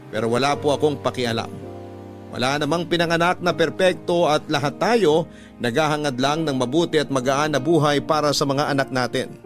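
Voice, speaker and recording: A man with a fairly high voice works as a radio storyteller. He delivers scripted narration in a dramatic style, with music clearly playing behind him. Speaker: radio host narrating listeners' letters. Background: background music.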